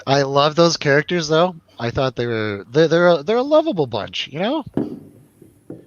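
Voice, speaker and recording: A man's voice: fairly high pitch, sing-song, normal speed, no echo. Casual, jokey man, dry muffled sound. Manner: jokey, informal, slangy. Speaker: host of a live film review show. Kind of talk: casual chat, film review over drinks.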